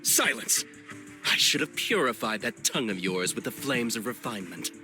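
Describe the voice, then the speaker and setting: An actor playing a pompous, grandiose villain, a menacing cult zealot in a video game. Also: male voice, mid-range voice, animated, moderate pace, music in the background, lightly acted. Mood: angry, menacing. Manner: grandiose, gloating.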